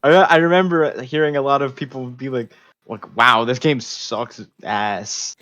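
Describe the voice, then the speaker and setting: A man's voice: medium pitch, fairly even intonation, a little slow, a bit bright. A man co-hosting a podcast, chatting informally about video games with some swearing, on a dull, lo-fi microphone. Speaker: podcast co-host.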